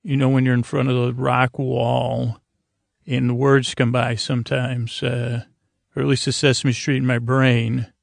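A man with a fry-laden voice delivers a whimsical bedtime monologue. His intonation stays quite flat as he wanders, hesitates and circles back on himself. The sound is dry and close, with no room around it. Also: mid-range voice, no echo. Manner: halting, meandering, trailing off and circling back. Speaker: host of a bedtime sleep podcast. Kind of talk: rambling, whimsical bedtime monologue with mock interview.

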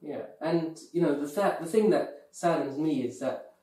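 A man's voice: fairly high pitch, moderate pace, dark tone. Earnest man, distant and echoey. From UK, of Pakistani heritage. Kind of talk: earnest conversational chat. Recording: echoey room, distant from mic.

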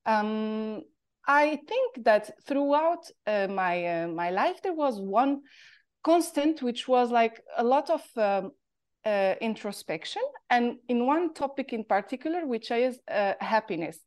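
A woman from Morocco with a Moroccan accent, telling her life story as an interview guest in a thoughtful, halting way, her pitch rising and falling. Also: expressive. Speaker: guest, artist.

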